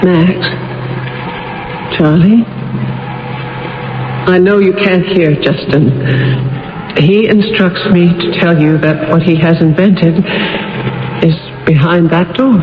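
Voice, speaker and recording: Fragile, slow-spoken woman, echoey radio drama. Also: fairly low pitch, noisy background. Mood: dazed, earnest. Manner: halting, earnest, intense. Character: fragile, earnest woman relaying an unseen inventor's messages. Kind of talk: old-time radio drama acting. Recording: muffled radio recording, echoey hall, music.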